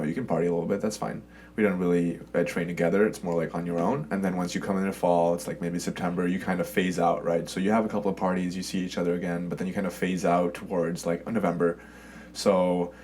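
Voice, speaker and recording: A young man who grew up in Sweden, a college swimmer guest chatting casually in an interview, relaxed and full of "like" and "you know". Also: low voice, a little hiss or hum. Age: young adult.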